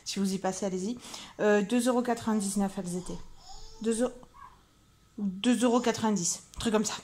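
A woman's voice: medium pitch, fairly even intonation, brisk, darkish tone, a little hiss or hum. A woman, chatting informally and thinking aloud as she shows her shopping in a casual vlog. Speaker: vlogger. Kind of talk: casual vlog chat, showing purchases. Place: car interior, later a room at home.

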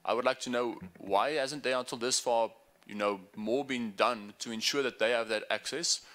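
Bright-voiced young man asking formally. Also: medium pitch, steady tone. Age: young adult. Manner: formal, measured questioning. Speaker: student asking a question. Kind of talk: public question at a debate. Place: university meeting room. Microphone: desk microphone.

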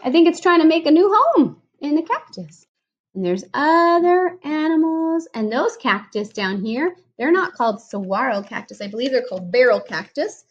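A woman's voice: high voice, sing-song, moderate pace, muffled recording. A woman in her thirties reading a picture book aloud to a young child in a home kitchen, explaining things patiently in simple words, recorded on a webcam at the table. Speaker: speech therapist reading to a child. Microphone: laptop or webcam at table distance.

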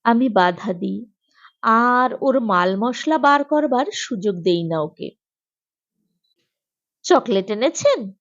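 A woman narrating a humorous short story in an expressive, animated storytelling manner. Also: on the high side, slow pace, lo-fi sound. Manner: animated, acts out the dialogue.

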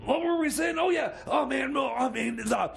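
A man doing a high-pitched, creaky, rambling stoner. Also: moderate pace, a bit bright, a little hiss or hum, exaggerated voice. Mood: giddy, boastful. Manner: rambling, stammering, repeats himself. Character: rambling, stoned, excitable male time-traveling sidekick. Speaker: co-host character on a comedy radio show. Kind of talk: improvised comedy radio theater.

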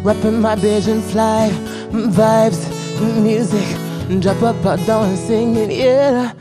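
A young Barbadian man, a talent show contestant who sings to his own acoustic guitar on a studio stage and speaks softly and earnestly about his song. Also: high voice, fairly even intonation, slow. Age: young adult. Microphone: stand mic. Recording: acoustic guitar behind the singing.

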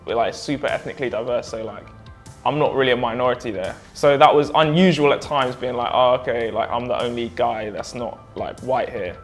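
A young man from London with a local accent talks casually and colloquially to camera. His voice is medium in pitch, with music faintly behind. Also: fairly quick. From West London, England. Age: early 20s. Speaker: recent graduate. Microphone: lapel mic. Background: background music.

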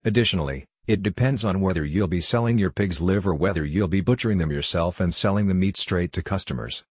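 A man narrating a scripted farming guide in a steady, even reading voice. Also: deep voice, quick pace, breathy edge, no room sound, clean studio sound.

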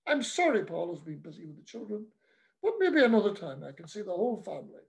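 A man's voice: high voice, big pitch swings, fast, creaky, exaggerated voice. A man voicing a cheery, pushy, middle-aged parish priest who chats on breezily, heedless of the people around him. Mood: breezy. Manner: chatty, breezy, oblivious.

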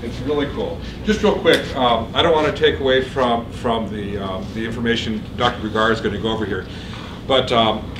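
A middle-aged man giving an energetic orientation speech in a university lecture room, often checking in with "okay?" and "right?". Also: medium pitch, background noise. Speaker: program administrator.

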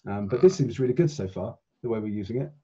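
A man's voice: fairly low pitch, lively intonation, fast talker, mellow, slightly rough. A man chatting casually as a player in a tabletop role-playing game over a video call. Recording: dull, muffled online call audio.

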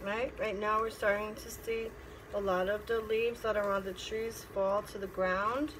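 A middle-aged woman reads a picture book aloud as a teacher, pausing to explain and ask simple questions. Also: mid-range voice, steady tone, fairly bright tone. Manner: instructive, asking simple questions. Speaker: teacher reading to children. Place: classroom. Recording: clear background noise.

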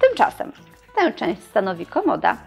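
A young woman presenting and reviewing furniture in a showroom, in a clear, explanatory scripted delivery. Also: medium pitch, huge pitch swings, normal speed, soft background music.

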